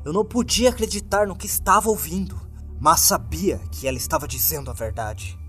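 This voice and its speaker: A man's voice: fairly high pitch, fairly quick. A man with a Brazilian accent reading a scripted horror story as narrator, in a grave and dramatic manner. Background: background music.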